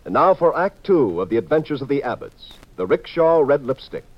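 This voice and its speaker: A man's voice: medium pitch, sing-song, brisk, background noise. A man announcing a 1950s radio mystery drama in a scripted introduction. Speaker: show announcer. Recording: muffled old radio with music.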